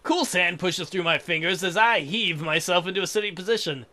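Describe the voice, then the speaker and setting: High-pitched, bright-voiced man joking while reading. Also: brisk. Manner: irreverent, crude joking asides, theatrical outbursts. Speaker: let's play host. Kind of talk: reading a visual novel aloud with joking commentary.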